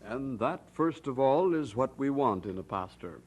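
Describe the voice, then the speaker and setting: A man's voice: medium pitch, animated, moderate pace, husky. An actor playing a formal, persistent church committee spokesman in an old-time radio drama, with a businesslike manner. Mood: formal, pressing.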